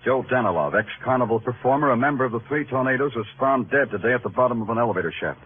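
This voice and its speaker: A man's voice: mid-range voice, lively intonation, racing, slight background noise, natural voice. Man playing a hard-boiled detective, tinny old radio. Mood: wry, intent. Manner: wry, sardonic, terse. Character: hard-boiled, world-weary male New York police detective. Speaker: lead character and narrator. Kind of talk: 1940s-50s noir radio drama narration and dialogue.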